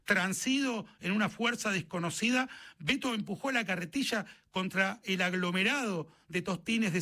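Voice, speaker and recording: A man's voice: high voice, sharp tone. A man with an Argentine accent reading a short story as scripted literary narration.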